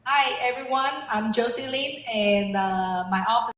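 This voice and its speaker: A woman introduces herself in slow, halting phrases broken by fillers. Her pace is unhurried. The room is echoey, with faint noise behind her. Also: a little high, fairly bright tone. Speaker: real estate developer. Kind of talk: self-introduction at a meeting.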